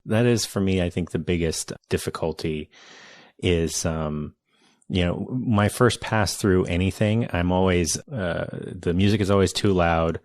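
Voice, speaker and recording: A man with a very low, deep voice hosts a casual podcast chat. He sounds relaxed and reflective. The recording is close and dry, with no room echo. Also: a little slow. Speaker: podcast host. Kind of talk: casual conversational interview.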